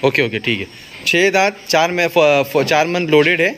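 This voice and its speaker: A man's voice: on the high side, expressive, moderate pace, sharp tone. A man hosting a casual vlog interview, asking questions and repeating answers back to confirm. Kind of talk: casual on-camera interview about livestock. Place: open-sided livestock shed. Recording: noisy open shed with farm ambience.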